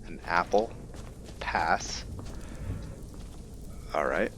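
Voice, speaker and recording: A man's voice: low-pitched, extremely slow. A man giving casual, improvised game commentary, musing aloud to himself in a tentative way. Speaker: gaming video host. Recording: muffled, with clear background noise.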